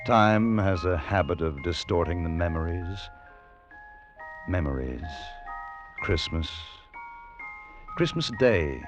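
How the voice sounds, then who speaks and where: A deep, reflective man narrates his boyhood memories in 1940s radio drama style. He is measured and nostalgic, with music behind him on a dull, band-limited old recording. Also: animated. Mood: wistful.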